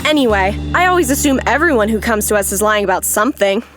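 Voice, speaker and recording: A woman playing a sharp, brash detective's secretary with a blunt, no-nonsense manner in a noir radio drama. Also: mid-range voice, animated, quick pace, over music, natural voice. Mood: brisk, skeptical. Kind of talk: 1940s noir radio acting.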